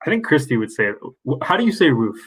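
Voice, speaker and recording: A man's voice: medium pitch, big pitch swings, fast, a bit bright, slightly rough. A man hosting a podcast, easygoing and curious, chatting casually with his guest. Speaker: podcast host. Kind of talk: casual interview chat.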